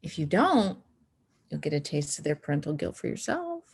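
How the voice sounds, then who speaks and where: A woman plays a teenage snowboarder girl in a film script. The voice is low and creaky, and she comes across as guarded and anxious, with a wry streak. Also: very animated, moderate pace, darkish tone, heavily acted. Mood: wry, friendly. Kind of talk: screenplay table read.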